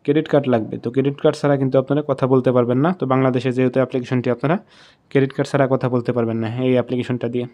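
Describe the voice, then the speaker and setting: A man's voice: medium pitch, mellow. A man with a Bangladeshi accent narrating a step-by-step app tutorial as host, in repetitive instructional phrasing.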